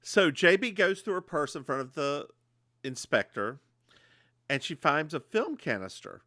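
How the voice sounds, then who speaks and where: Male podcast co-host, chatty recapper, animated delivery, dry close mic. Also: a little high, unhurried, dry recording. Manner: animated storyteller, slips into voices when quoting. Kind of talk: casual chat.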